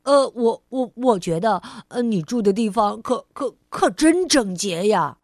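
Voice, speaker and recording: A woman's voice: on the high side, expressive, measured pace, a little mellow, dry recording, studio quality. A woman voicing a clumsy, cheerful grown man who stutters and repeats his words, in a children's storybook reading. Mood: bumbling. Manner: stammering, hesitant, repeating words.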